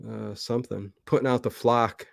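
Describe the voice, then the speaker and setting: A man's voice: mid-range voice, flat delivery, normal speed, gravelly, no echo, studio quality. A middle-aged man chatting casually on a livestream as a show host, talking in a rambling, self-interrupting way.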